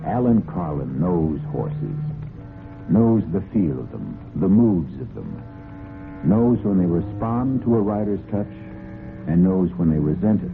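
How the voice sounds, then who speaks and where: Low, animated male radio mystery host. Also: a little slow, vocal fry. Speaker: host and narrator. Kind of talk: old-time radio mystery narration, scripted. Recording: muffled old radio broadcast with music. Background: music.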